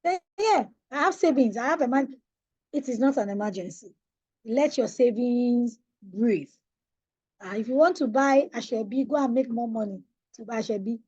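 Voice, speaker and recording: A woman's voice: a little high, expressive, normal speed, dark tone, slightly rough, no room sound, highs cut off. A Nigerian woman coaching young people on money in an online webinar, emphatic and preacherly, often putting questions to her listeners. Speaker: financial coach. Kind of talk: webinar talk on personal finance. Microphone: online webinar audio.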